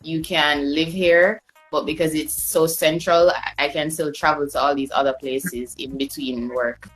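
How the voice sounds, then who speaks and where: A woman's voice: low voice, fairly even intonation, measured pace, faint music behind, rough recording. A woman telling travel stories as a podcast guest, chatty and loose, with fillers and restarts. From Jamaica. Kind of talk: casual storytelling chat.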